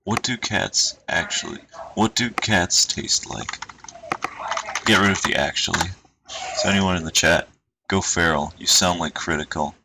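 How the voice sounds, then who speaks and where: A man streaming, chatting casually and reading posts aloud in a dry, monotone way. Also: low voice, measured pace, creaky. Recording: noisy home stream recording.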